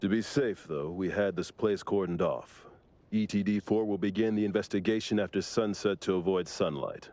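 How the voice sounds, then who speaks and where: An actor playing a stern, formal investigator in an anime video game, with a measured, deadpan delivery. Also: male voice. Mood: serious briefing. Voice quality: gravelly low voice. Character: stern male colleague, formal, dryly disapproving investigator.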